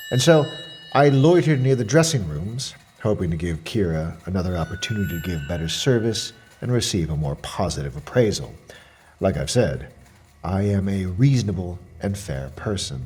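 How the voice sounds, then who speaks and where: A lowish male voice over music, a creepy, self-righteous professional complainer in an audio drama monologue. He speaks with officious, precise formality, and his pitch swings widely as he builds his case. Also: a little mellow. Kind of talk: dramatic monologue, read as a customer report.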